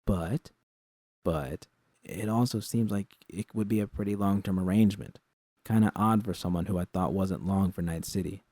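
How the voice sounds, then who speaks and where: A man's voice: low-pitched, normal speed, warm tone, no room sound, studio quality. A man voicing a wry, tough, teasing woman bartender with a slangy, frank manner in a story. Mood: frank, playful.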